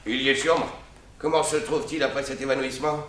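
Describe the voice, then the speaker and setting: An actor playing a cold, impatient accomplice in a vintage radio drama, curt and commanding. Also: male voice, medium pitch, steady tone, fast, a bit bright, slight background noise, lightly acted. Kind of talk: 1960s French radio drama acting.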